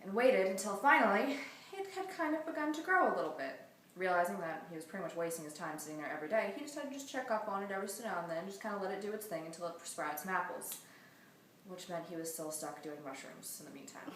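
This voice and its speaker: A woman's voice: mid-range voice, expressive, quick pace, fairly bright tone. A woman improvising a casual comic story in a rambling, conversational way with offhand asides. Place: echoey room, far from mic. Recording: echoey room, distant mic, faint noise.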